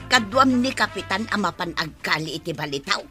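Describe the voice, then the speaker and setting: A woman's voice: medium pitch, lively intonation, fairly quick, sharp tone, faint music behind. A woman with an Ilocano accent playing a nosy but obliging village woman in a radio comedy drama, chatty and full of questions. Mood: curious. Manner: questioning, conversational.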